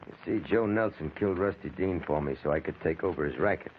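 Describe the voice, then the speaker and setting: A confident, cold gangster played by a man in a radio crime drama. His deep voice is blunt and matter-of-fact. The old recording is narrow and tinny, with faint noise. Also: fast, lightly acted. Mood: cold menace. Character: cold, confident male racketeer, casually menacing.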